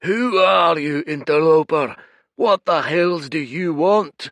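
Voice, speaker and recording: A man voicing a giant furious male spirit of rage who booms in a gravelly, hoarse, raised voice. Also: slow, no echo. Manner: booming, shouting.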